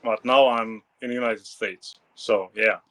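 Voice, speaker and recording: A young man from Russia talks in English with a clear Russian accent, unhurried and halting. He pauses often and searches for words as a guest on a casual podcast. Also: fairly low pitch, fairly even intonation, sharp tone. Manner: halting non-native English with pauses and fillers. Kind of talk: casual interview.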